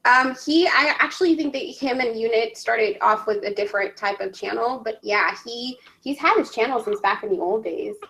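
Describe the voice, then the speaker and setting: A woman's voice: on the high side, normal speed, vocal fry, hardly any pauses, a bit bright, low-quality recording. A woman who is a native Spanish speaker, hosting a casual interview in an informal, agreeable way. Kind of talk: casual online interview chat.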